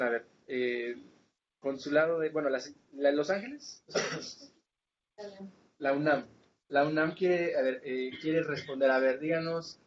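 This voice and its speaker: A man leading an academic seminar, explaining didactically and checking in with rhetorical questions. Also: fairly high pitch. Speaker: lecturer leading a seminar session. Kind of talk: academic lecture with questions to participants. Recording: muffled, noisy room recording.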